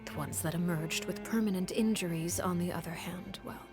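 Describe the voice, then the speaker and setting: A cold, composed woman, played by an actress, tells a dark tale in a soft, breathy half-whisper. Her voice sits low and stays measured and grave, as in a game cutscene. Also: music in the background, natural voice. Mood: grave, calm. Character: cold, composed woman recounting a grim past. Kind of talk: game story cutscene dialogue.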